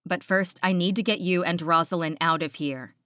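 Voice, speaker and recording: A woman's voice: fairly low pitch, fairly even intonation, moderate pace, a bit bright, clear, resonant tone, dry recording, lo-fi sound, lightly acted. A woman voicing an apologetic, pleading male werewolf in an audiobook.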